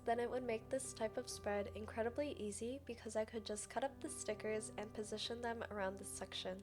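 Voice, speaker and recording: A young woman giving a chatty, scripted voice-over as the narrator of a craft video. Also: a little high. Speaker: journaling video creator and narrator. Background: background music.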